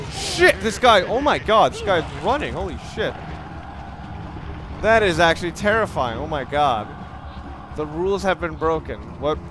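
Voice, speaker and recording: A man giving casual, excitable let's play commentary on a video game, with casual swearing. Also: on the high side. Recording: clearly noisy home recording.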